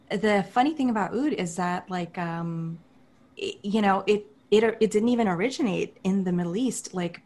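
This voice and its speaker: A woman's voice: mid-range voice, a little mellow. Woman, loose rambling chat, heavy on fillers. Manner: rambling, many fillers like "like" and "you know". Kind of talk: casual group chat.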